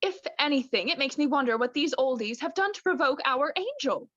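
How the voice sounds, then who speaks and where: Young woman as a high, sugary, doting mother. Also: animated, a little fast, a bit bright, dry recording. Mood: protective. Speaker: student actor in radio play. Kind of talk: radio play acting. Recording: dry, slightly dull home recording.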